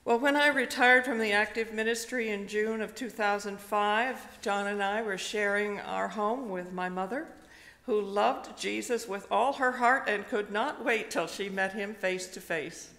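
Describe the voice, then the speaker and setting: An older woman, a retired pastor, preaches slowly and gently, telling a personal story with pauses, at a pulpit microphone in a church. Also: mid-range voice. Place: church sanctuary, indoors. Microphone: stand microphone at a pulpit. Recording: long reverb of a church hall.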